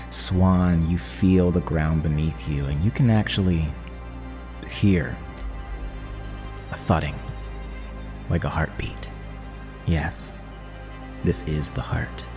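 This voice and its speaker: Very deep-voiced man narrating dramatically. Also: long reverb tail. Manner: dramatic, descriptive storytelling. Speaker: game master. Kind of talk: narrating a tabletop roleplaying game.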